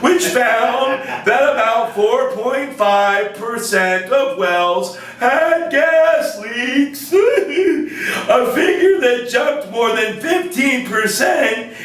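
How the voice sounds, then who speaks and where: A man voicing a gleefully dismissive puppet bureaucrat in a satirical skit, giggly and flippant, with drawn-out exaggerated delivery. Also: very high voice, lively intonation, fairly bright tone, full character voice. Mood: smug, boastful. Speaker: agency spokesman in satirical skit. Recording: very echoey room, far from microphone.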